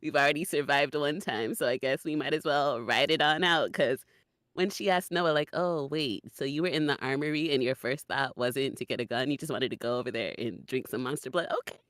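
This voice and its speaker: A woman's voice: a bass voice, a little fast, a bit bright, no room sound, studio quality. Wry woman in casual chat. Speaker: voice actor on a panel.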